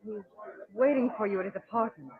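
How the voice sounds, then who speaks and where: A woman's voice: medium pitch, lively intonation, quick pace, lightly acted. An actress playing an earnest, loyal young woman, polite and deferential, in old-time radio drama style. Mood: polite, tactful. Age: young adult. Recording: muffled, noisy vintage radio recording.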